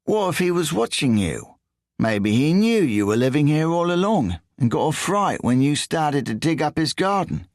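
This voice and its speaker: A man's voice: fairly high pitch, big pitch swings, moderate pace, a little mellow, no echo, studio quality, pitched above the performer's own voice. A man voicing a nosy, nervous burglar with an English accent and a whiny tone. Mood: prying. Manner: whiny, questioning.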